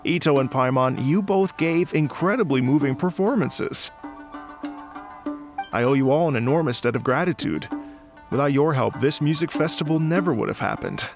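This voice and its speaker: A man voicing an earnest, polite, sentimental gentleman musician in a video game, well-spoken and heartfelt. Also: fairly high pitch, normal speed, music in the background, natural voice. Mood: grateful, sincere. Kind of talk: animated video game voice acting.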